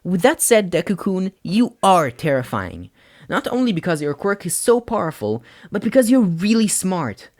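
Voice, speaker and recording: A man voicing a kind, frank teenage girl with an earnest, deliberate way of talking in an audio story. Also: high voice, very animated, voice pushed up. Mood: sincere, serious.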